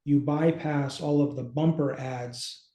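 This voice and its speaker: A man in his thirties gives an informal demonstration, pausing to think aloud as he goes. His voice is mellow and mid-pitched. It carries clear room echo, like a home office video call. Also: fairly even intonation. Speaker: co-host, app company team member. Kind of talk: casual, informal demonstration chat. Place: small home office indoors.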